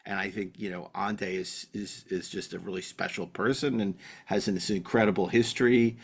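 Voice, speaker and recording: A man hosting a podcast in casual, rambling chat, halting often and repeating his words. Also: fairly low pitch, fairly even intonation, vocal fry, husky. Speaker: podcast host.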